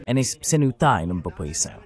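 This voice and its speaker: A man delivers a translated voice-over of a heated political exchange. His voice sits a little low, and his intonation is very lively, moving widely up and down. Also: rapid-fire, crowd noise.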